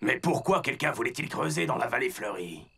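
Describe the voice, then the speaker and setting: Raspy, gravelly, sharp-toned rescue team member for a children's cartoon, light music behind. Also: male voice, medium pitch, sing-song, brisk, full character voice. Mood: puzzled. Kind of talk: animated children's series dub.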